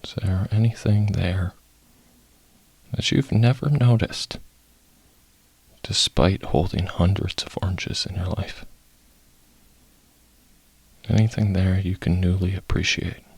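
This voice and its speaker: A man leading a guided meditation, soft-spoken and sometimes breathy, in short phrases with long pauses. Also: low-pitched, no room sound.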